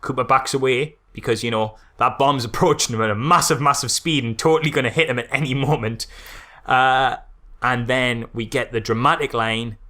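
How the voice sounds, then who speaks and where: A man's voice: medium pitch, steady tone, moderate pace, pushed and bright. A man co-hosting a podcast, chatting casually and critiquing a film in a jokey, mock-dramatic way. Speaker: podcast co-host.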